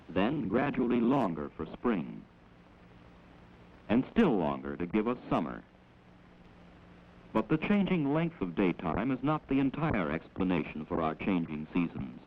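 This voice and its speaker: A man with an American accent gives measured, instructive scripted narration in the manner of a 1940s educational film, on an old film soundtrack. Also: lowish voice, big pitch swings. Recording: dull, noisy old 16mm film soundtrack.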